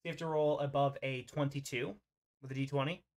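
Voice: medium pitch, fairly even intonation, brisk, darkish tone. A game master casually narrating an online roleplay over a voice call, in an informal, hesitant way.

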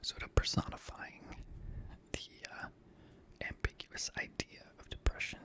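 A young man reading scary stories in a hushed, breathy ASMR whisper, gently, close to a studio microphone. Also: unhurried. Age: young adult. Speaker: host and reader of scary stories. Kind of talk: whispered ASMR horror story narration. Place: small indoor home studio room. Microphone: close boom-arm studio microphone.

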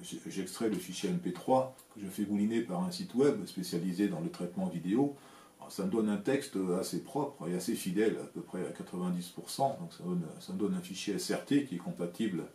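A man in his sixties from France, talking casually to camera from his home workshop, explaining things in a measured, plain way with frequent hesitant fillers. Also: sits low, mellow, roomy sound. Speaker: electronics hobbyist, channel host. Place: home workshop with shelves of equipment, indoors. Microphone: camera framing at desk, seated.

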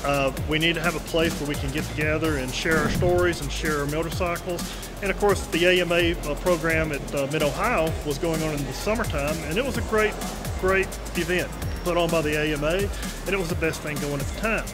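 A man's voice: on the high side, a little fast. A man in his sixties explaining things in an informal, folksy, conversational interview as a museum representative. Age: 60s. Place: large indoor museum hall. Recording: loud background music. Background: music.

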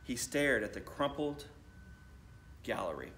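An American man in his thirties reads to children as a school principal. His mid-range voice moves up and down in a lively way, with deliberate phrasing. There is faint noise in the room around him. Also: mid-range voice. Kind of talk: storybook read-aloud. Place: school library, indoors.

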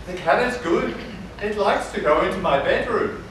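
A woman on stage playing an affectionate neurologist and old friend, with deliberate, projected delivery. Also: medium pitch, animated, lightly acted. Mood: fond. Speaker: stage actor. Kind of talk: live stage play dialogue. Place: theatre stage before a live audience. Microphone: far camera. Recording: very echoey noisy hall, far off.

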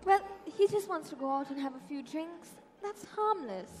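A woman's voice: sits high, expressive, moderate pace. A woman playing a polite, flustered working mother in a stage comedy, hesitant and earnest. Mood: defensive, smitten. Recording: echoey hall with music.

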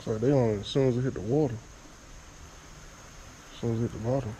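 A man's voice: medium pitch, quick pace, slight background noise, poor audio. A man fishing at a small creek under a bridge, giving casual running commentary to himself on a head-mounted camera. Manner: relaxed, muttering to himself. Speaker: fishing vlogger. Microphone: head-mounted action camera.

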